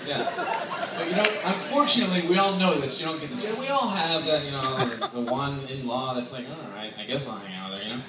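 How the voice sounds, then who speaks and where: A man's voice: medium pitch, lively intonation, fast talker. A man in his thirties giving a joking best man's toast on a handheld mic in a large wedding tent, chatty and laughing between lines. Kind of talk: casual humorous speech to a crowd. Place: large wedding tent with a dance floor, indoors. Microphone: handheld microphone through speakers, filmed from afar. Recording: echoey tent heard from far off, loud crowd and noise. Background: wedding guests laughing and cheering.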